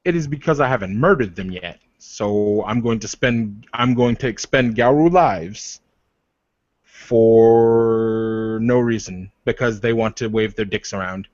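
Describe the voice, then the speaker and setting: A man's voice: lowish voice, measured pace, a little mellow, no echo, natural voice. A man playing a proud, protective werewolf leader in improvised roleplay, arguing insistently with repeated phrases and pointed questions. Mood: frustrated. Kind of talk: improvised in-character roleplay dialogue. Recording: dull, lo-fi voice recording.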